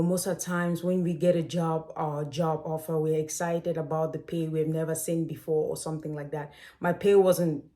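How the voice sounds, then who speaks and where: Woman from Cameroon, late twenties, vlog host; direct and chatty, mellow lowish voice. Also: roomy sound. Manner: chatty, direct, addresses viewers as "guys". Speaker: financial coach and host. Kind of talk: casual to-camera advice vlog.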